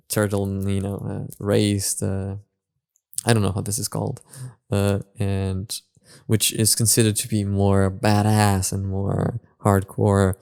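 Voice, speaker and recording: A man giving casual reaction and analysis commentary as a video host, halting as he searches for his words. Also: fairly low pitch, creaky, darkish tone, clean tone.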